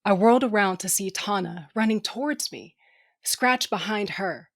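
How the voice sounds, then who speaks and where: A mid-pitched, take-charge woman of the space lanes. She tells her story in a space audio drama with brash, casual, wry toughness. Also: moderate pace, vocal fry, no room sound, studio quality. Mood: gritty narration. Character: tough, wry spacefaring aunt and crew leader. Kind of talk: first-person audio drama narration.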